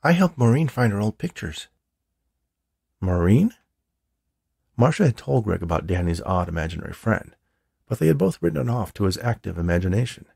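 A man's voice: on the low side, vocal fry, dark tone, breathy edge, no echo, clean studio sound. A man reading a story aloud as a scripted audiobook narration. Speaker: author reading own novel.

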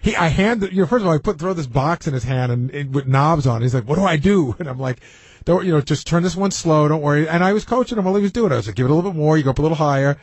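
Male radio staffer, quick, slightly high voice, casual anecdotes with quoted dialogue, dry studio. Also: breathy edge, dry recording. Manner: animated storyteller who acts out dialogue. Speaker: radio show producer.

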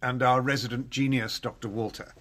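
An actor with an upper-class English accent playing a jovial, domineering rocket tycoon with a hearty, commanding manner in a radio drama. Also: male voice, medium pitch, flat delivery, brisk, a bit bright, natural voice. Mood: jovial, suave.

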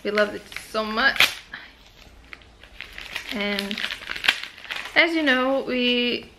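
A young woman vlogger with a Portuguese accent talks in an unhurried, drawn-out way, her voice sitting a little high. She chats to a camera held at arm's length and drifts from one thing to the next. A faint hiss of room noise sits under her voice. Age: young adult. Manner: chatty, unhurried, easily sidetracked. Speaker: travel vlogger. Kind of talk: casual vlog chat. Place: hotel room and home living room. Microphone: handheld camera at arm's length.

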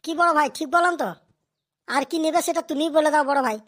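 An excitable, scheming village elder from a comedy cartoon played by a performer. The voice is high and rattles along at breakneck speed. The delivery is coarse, full of scolding and haggling. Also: fast talker.

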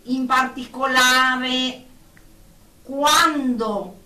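A woman's voice: high-pitched, very slow, pushed and bright, full character voice. A woman acting a stern, bossy therapist in a radio drama, speaking in a formal, clinical, scolding way. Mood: stern, impatient. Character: stern, bossy female therapist running group session. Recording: roomy, distant mic, faint noise.

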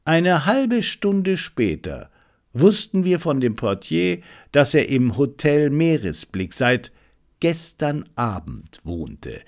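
A man narrating a detective story as an audiobook, expressive and deliberate in his storytelling. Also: a little high.

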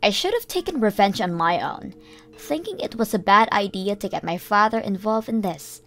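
A woman's voice: medium pitch, animated, moderate pace, a bit bright. Woman narrating a story over faint music. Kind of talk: scripted first-person story narration.

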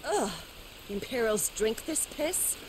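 A slow, sneering, imperious commander. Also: female voice, a little high, animated. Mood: contemptuous. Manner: sneering, taunting, drawn-out phrasing. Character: imperious, cruel, mocking female military commander. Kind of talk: video game cutscene acting. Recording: noisy ambient game sound behind.

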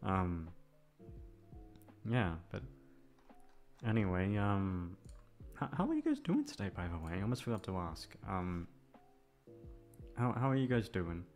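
A man with a low voice chats casually to his listeners. He rambles hesitantly, putting himself down and swearing freely. Soft guitar music plays faintly behind him. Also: very animated, a little slow, long pauses. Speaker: ASMR voice actor and channel host. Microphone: microphone, sitting a little back from it.

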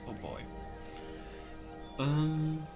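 Guy doing casual game setup commentary, kinda slow, reads options out to himself in short bits, loud music behind. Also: a little high, very animated. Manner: muttering to himself, reading settings aloud in clipped phrases. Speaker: gaming video host.

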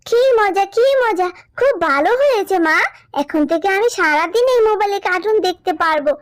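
A performer voicing an excitable, whiny little girl fox cub in a cartoon, pleading and childlike. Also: very high voice, brisk, no room sound, studio quality. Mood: delighted.

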